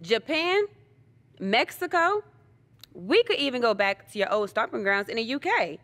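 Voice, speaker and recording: A woman's voice: fairly high pitch, animated, moderate pace, fairly bright tone, dry recording. An actress playing a self-assured female wrestling challenger with a teasing manner. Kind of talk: video game cutscene acting.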